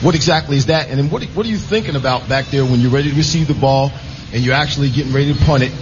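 Mid-pitched man interviewing over loud noise. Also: racing. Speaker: radio co-host asking questions. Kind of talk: casual live radio interview. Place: busy casino floor, live broadcast. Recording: dull sound over loud venue noise. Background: loud casino ambience.

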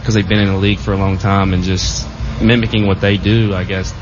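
A young man with a slightly low voice talks casually as a guest on a show, laid-back and halting, with lots of fillers. The audio sounds dull and lo-fi. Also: level tone, background noise. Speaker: football player guest. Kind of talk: casual interview chat.

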